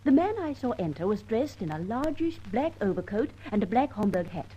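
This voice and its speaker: A woman's voice: mid-range voice, sing-song, a little fast, dark tone, breathy edge. An actress playing an eager, observant British woman, a sleuth's easily exasperated accomplice, bantering insistently in an old-time radio detective drama. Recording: dull old radio recording.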